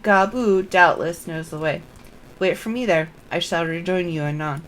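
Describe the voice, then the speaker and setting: A woman reading the lines of an earnest young nobleman in a fantasy game, with formal, measured phrasing. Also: lowish voice, a little hiss or hum, lightly acted, low-quality recording.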